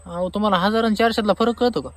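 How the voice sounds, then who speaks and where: Comic phone skit: man acting an aggrieved rural Marathi farmer, high voice, exasperated, mocking and sarcastic. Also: steady tone, quick pace, fairly bright tone. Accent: Marathi, rural Maharashtra. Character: aggrieved, sarcastic male farmer demanding refund. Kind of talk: staged comic phone-call argument.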